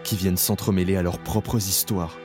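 A middle-aged man giving measured, solemn scripted documentary narration. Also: deep voice, fairly even intonation, over music.

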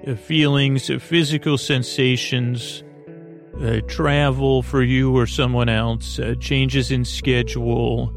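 A man hosting a bedtime podcast in a meandering, hesitant monologue full of stammered false starts and tangents, with a gravelly voice. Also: mid-range voice, level tone, slow pace, faint music behind. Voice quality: gravelly, creaky. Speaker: podcast host.

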